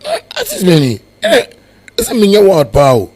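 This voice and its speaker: A man with a Ghanaian accent and a husky voice, a musician telling his story in a casual interview, rambling and switching into Twi and Pidgin. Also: high-pitched, wildly swooping pitch, moderate pace, a little mellow. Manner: rambling, filler-heavy storytelling. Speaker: musician guest.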